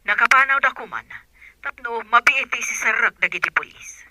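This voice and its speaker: A woman playing a brisk, commanding aunt in a Filipino audio drama, with an Ilocano accent. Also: fairly high pitch, noisy background, natural voice. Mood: firm.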